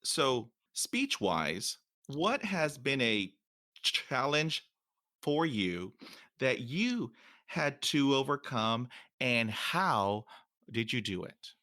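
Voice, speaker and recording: A man hosting a podcast interview who talks slowly and deliberately and stutters now and then. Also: mid-range voice, very animated, pushed and bright, dry recording, studio quality. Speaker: podcast host.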